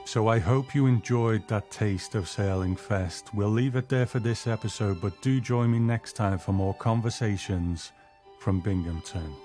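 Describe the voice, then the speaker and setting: Low, mellow male podcast host over music. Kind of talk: scripted narration, show sign-off.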